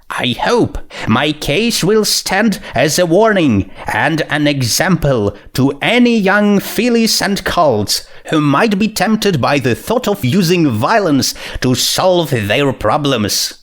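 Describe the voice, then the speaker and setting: Guy doing a young girl pony princess, high voice, super earnest and formal, storybook court confession. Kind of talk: dramatized storybook reading.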